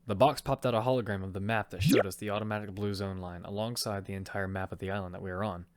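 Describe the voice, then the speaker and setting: A man's voice: lowish voice, fairly even intonation, fast talker, no room sound, close to an everyday voice. A man playing a determined young fighter who narrates his own survival story in an amateur audio drama. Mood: dramatic narration. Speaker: narrator and lead actor. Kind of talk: first-person narration of amateur audio fiction. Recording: clearly noisy amateur recording with clicks.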